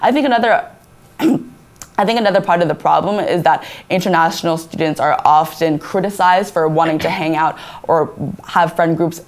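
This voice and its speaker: A woman's voice: on the low side, normal speed. A young woman whose first language is Chinese, answering earnestly as a candidate in a student government debate. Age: young adult. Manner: earnest, many restarts and "I think".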